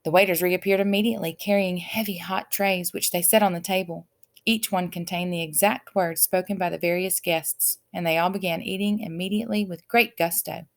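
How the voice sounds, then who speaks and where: A woman reading a children's story aloud as an expressive narrator. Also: lowish voice, fairly quick, creaky. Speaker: audiobook reader.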